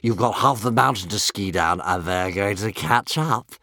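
A man voicing a big sardonic dragon who drawls slowly and mockingly. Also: fairly low pitch, pushed and bright, no echo, studio quality. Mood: gloating. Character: big sardonic gloating male sabre-toothed dragon.

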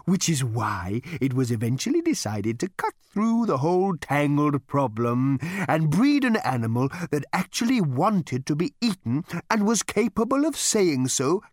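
A man voicing a polite, eager talking cow that offers itself as dinner, in a slow, genteel, ingratiating manner. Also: fairly high pitch, dry recording, studio quality, pitched above the performer's own voice, heavily acted. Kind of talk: audiobook character voice.

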